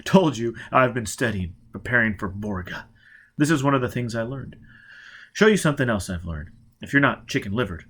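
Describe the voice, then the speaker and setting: A man's voice: mid-range voice, expressive, fairly quick, fairly bright tone, breathy edge. A man reading a story and voicing a brutal, driven spaceman who barks clipped, demanding lines. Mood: clipped, cool. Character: brutal, driven male spaceman interrogating with growling menace.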